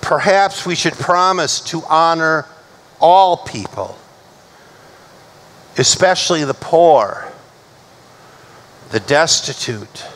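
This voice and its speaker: A middle-aged male pastor delivering a measured, emphatic sermon from a church pulpit. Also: fairly high pitch, a bit bright, slight background noise. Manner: measured, emphatic preaching, slowing for recited scripture.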